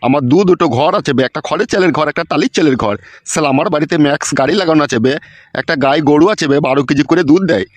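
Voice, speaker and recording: A man's voice: on the high side, very fast, no echo, close to an everyday voice. A man voicing an aggrieved, boastful old village husband in a comic cartoon, cursing and grumbling in a rural West Bengal accent. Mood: indignant boasting.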